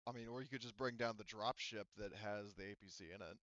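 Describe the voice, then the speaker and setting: A man acting as game master, narrating a roleplaying game in a halting, conversational, improvised way. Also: fairly low pitch, fairly even intonation, a bit bright, no echo, muffled recording.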